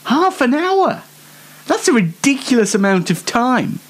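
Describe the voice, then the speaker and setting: A man's voice: very high-pitched, big pitch swings, a little slow. A British man hosting an unscripted comic news show in a rambling, self-mocking way. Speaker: host of a comedy news show.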